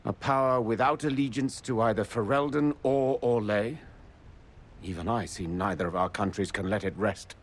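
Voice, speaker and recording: A man's voice: on the low side, normal speed, lightly acted. A man playing a stern but courteous noble envoy in a video game, formal and diplomatic. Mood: firm. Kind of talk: dramatic video game dialogue.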